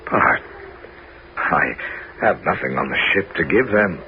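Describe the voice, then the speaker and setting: An actor playing Ulysses, a weary and proud wandering hero, speaking gravely in a 1970s radio drama. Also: male voice, animated, measured pace, a little hiss or hum. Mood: tense. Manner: deep, grave, turning to frantic shouting. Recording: dull old radio recording with loud music.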